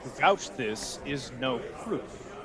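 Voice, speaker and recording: A man's voice: mid-range voice, lively intonation, slow pace, sharp tone. A man playing an authoritative, courteous Duke of Venice in a Shakespearean radio play, speaking formally and decisively. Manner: formal, commanding. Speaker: amateur actor in an online radio play. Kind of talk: Shakespearean verse acting for radio. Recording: faint background noise on online call.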